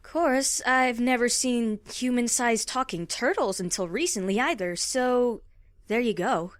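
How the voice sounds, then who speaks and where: A woman's voice: a little high, unhurried, dry recording, studio quality, close to an everyday voice. A woman playing a friendly, geeky young woman in a comic-book audio drama, chatty and matter-of-fact. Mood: thoughtful. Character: young human woman, friendly self-described sci-fi geek.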